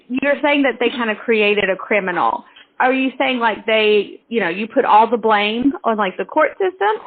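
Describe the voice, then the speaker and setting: Higher-voiced woman on a thin phone line. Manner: loose, conversational questioning with fillers. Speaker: interviewer. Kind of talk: phone interview questions.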